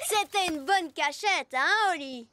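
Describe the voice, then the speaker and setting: A woman's voice: very high-pitched, expressive, measured pace, very noisy. A woman voicing an eager, proud young elf boy with an earnest delivery in a French-dubbed children's cartoon.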